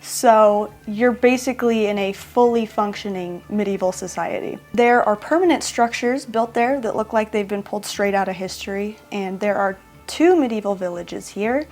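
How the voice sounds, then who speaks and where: A woman vlogger talks straight to camera in a relaxed, chatty way at a mid pitch. She pokes fun at herself and slips small laughs into her sentences, with faint music running underneath. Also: normal speed, few pauses. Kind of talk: casual talk to camera.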